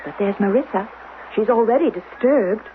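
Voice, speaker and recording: A woman's voice: medium pitch, moderate pace, narrow, tinny audio, close to an everyday voice. An actress playing a shrewd, probing rebel wife guarding her son, with direct, coaxing delivery in a radio drama. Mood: grimly worried. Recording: noisy, band-limited 1970s radio broadcast.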